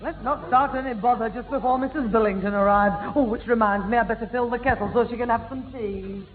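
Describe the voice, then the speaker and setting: A woman playing a brisk, chiding housewife mother who keeps the peace in a 1960s radio sitcom. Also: a little high, faint music behind, natural voice. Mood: fussing. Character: harried, scolding housewife mother keeping the peace. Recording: old tinny 1960s radio broadcast.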